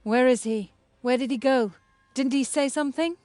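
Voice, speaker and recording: An actress playing a determined, assertive young heroine in a game cutscene. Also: female voice, high voice, normal speed, a little mellow, no room sound, faint music behind, lightly acted. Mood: impatient. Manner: direct, assertive. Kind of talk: scripted game cutscene acting.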